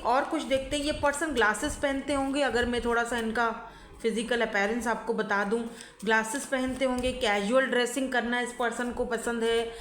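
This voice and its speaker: Hoarse, chatty woman reading tarot. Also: a little high, a little hiss or hum. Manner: chatty, keeps checking in with the listener, mixes in English. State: hoarse from a sore throat and flu. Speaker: tarot reader. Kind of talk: casual pick-a-card reading to camera. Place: indoors at home by a window. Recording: echoey room.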